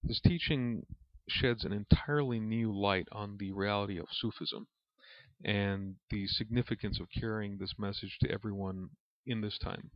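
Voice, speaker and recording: A man reading aloud from a spiritual book as a lesson, in a measured, reverent manner. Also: lowish voice, steady tone. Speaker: reader and religious teacher. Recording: dull, lo-fi recording in an echoey room.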